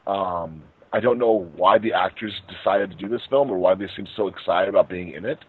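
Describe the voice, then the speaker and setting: A man's voice: low voice, a little fast, breathy edge. A man hosting a casual group film review chat over a narrowband internet call. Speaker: podcast host.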